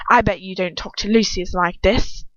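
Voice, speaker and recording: A woman's voice: medium pitch, steady tone, moderate pace, dry recording, muffled recording, lightly acted. A woman voicing a menacing male wizard villain in a storybook reading, a crude bully with a mocking, hissing delivery. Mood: furious, hissing.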